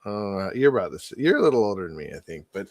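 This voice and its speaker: Animated rambling man in his forties. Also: fairly low pitch, fast talker, no room sound. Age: 40s. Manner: rambling, animated, thinks aloud with restarts. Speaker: podcast co-host. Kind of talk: casual conversation.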